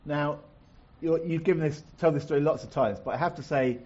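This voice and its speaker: Man hosting a stage chat show, chatty and halting, mellow voice, slightly dull lo-fi sound. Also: fairly high pitch, breathy edge. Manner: chatty, halting, many restarts. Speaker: host of a chat-show-style event. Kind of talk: live chat show interview. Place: stage before a live audience.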